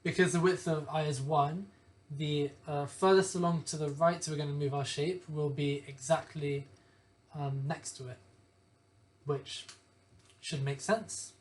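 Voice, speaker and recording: A young man with a hoarse voice from a lingering cough, methodically explaining code step by step and often correcting himself. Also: on the high side, steady tone, a little slow. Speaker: programming tutor. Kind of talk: screen-share coding walkthrough.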